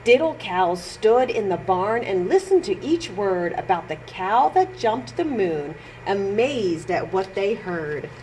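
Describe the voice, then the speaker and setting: A woman with a lively, swooping voice reads a children's story at an unhurried pace. She stresses words in a sing-song way and adds little asides to young listeners. There is clear noise behind her. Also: medium pitch, low-quality recording. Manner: sing-song, exaggerated emphasis, pauses to comment on pictures. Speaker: children's librarian storytime reader. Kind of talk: expressive read-aloud for young children.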